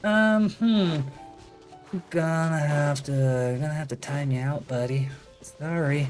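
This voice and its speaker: A man's voice: on the high side, takes it slowly. Casual male game streamer over music. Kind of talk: casual livestream gaming commentary. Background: video game music.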